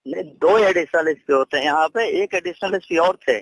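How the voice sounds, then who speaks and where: A man's voice: a little high, moderate pace, dry recording. A middle-aged man, a senior police official arguing on a phone call, scolding, interrupting and repeating his words as he stumbles. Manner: stammering repetitions, scolding, interrupting. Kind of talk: heated phone argument. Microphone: telephone call.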